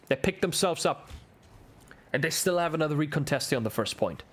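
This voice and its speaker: Excitable German esports caster. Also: male voice, fairly high pitch, brisk. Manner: excitable, ramps up with the action, chats with viewers. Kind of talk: solo play-by-play commentary.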